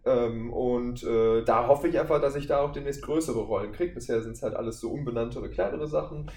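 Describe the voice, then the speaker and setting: Man, mid-pitched voice, casual podcast guest, hesitant and meandering, echoey room. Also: a little fast, a little mellow. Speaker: guest, voice actor and audio drama maker. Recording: roomy, distant mic, faint noise.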